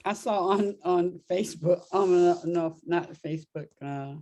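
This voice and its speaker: Halting, laughing woman telling a story. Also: fairly low pitch, warm tone. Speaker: co-host. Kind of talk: casual storytelling chat.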